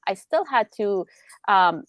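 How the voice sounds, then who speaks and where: Animated woman attorney, dry sound. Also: mid-range voice, takes it slowly, no echo. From Iran. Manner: animated, explains with rhetorical questions. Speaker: business attorney guest. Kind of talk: casual interview chat.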